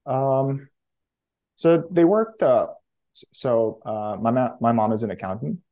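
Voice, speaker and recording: A man, answering interview questions in a casual, halting way with many restarts. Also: medium pitch, warm tone. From Romania. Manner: halting, frequent self-restarts and fillers. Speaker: startup founder guest.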